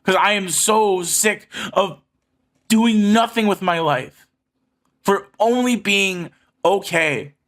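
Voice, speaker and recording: Impassioned man with a bright, forceful voice. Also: sits high, takes it slowly. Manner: earnest, emphatic, sweary. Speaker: video creator addressing subscribers. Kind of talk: impassioned personal monologue to viewers.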